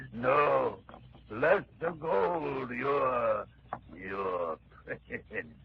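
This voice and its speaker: An actor playing a gruff, mocking sailor who speaks slowly, in 1930s radio drama style, on a dull old broadcast with faint hiss. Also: male voice, medium pitch, animated, exaggerated voice. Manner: mocking, slow and gravelly.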